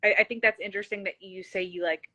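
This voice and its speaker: Bright-voiced woman host with a slight lisp. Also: medium pitch, fairly even intonation, quick pace, slight background noise. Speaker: interview host. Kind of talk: casual chatty interview.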